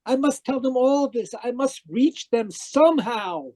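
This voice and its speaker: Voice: high-pitched, expressive, unhurried, dark tone, no echo. An actor in a radio mystery playing a distraught, newly dead spiritualist, dramatic and earnest. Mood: desperate, pleading. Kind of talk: old-time radio mystery acting and narration.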